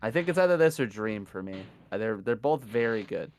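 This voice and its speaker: A man commentating a live gaming marathon in a casual, chatty, self-deprecating way, his voice hoarse from days of yelling. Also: fairly low pitch, expressive, brisk.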